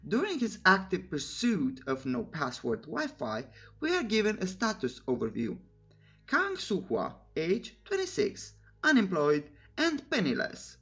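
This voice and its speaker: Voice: mid-range voice, huge pitch swings, unhurried, a bit bright. Recap narrator telling a story, super up and down voice, wry jokey asides, music behind it. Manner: wry, informal, joking asides. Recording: clear music bed under voice. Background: background music.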